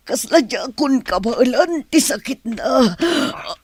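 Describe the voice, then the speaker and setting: A woman playing an ailing, weak woman who speaks haltingly in pain in a radio drama. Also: on the high side, expressive, husky, no room sound. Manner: halting, groaning delivery.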